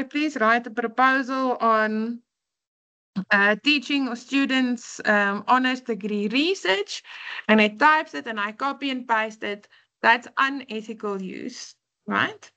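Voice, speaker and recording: Afrikaans accented woman lecturer, earnest, lots of ums, talks slow and bright. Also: fairly high pitch. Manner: earnest, explanatory, frequent fillers. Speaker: university lecturer. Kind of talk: online orientation class.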